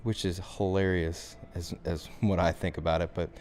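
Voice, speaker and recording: A man giving a software tutorial as instructor, talking through the steps in an informal, conversational way. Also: low-pitched, level tone, moderate pace, very noisy.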